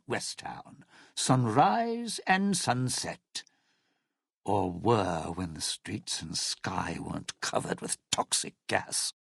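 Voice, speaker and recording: An actor playing a cynical, scheming old lounge performer in a video game, with a gravelly, raspy voice and a sardonic, showy drawl. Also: male voice, medium pitch, no echo, studio quality, exaggerated voice. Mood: wary, matter-of-fact. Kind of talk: video game character dialogue.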